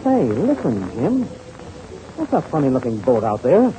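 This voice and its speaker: An actor playing a confident, decisive American pilot and senior adventurer in a hearty 1930s radio serial style. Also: male voice, mid-range voice, wildly swooping pitch, unhurried, warm tone, rough recording. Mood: resolute. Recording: faintly hissy old radio recording.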